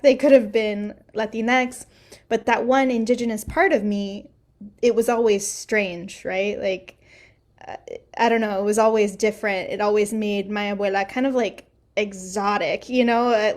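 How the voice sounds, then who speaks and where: A woman's voice: mid-range voice, a little mellow. A young woman co-hosting a podcast, speaking reflectively with frequent "like" fillers. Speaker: podcast co-host. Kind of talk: casual discussion.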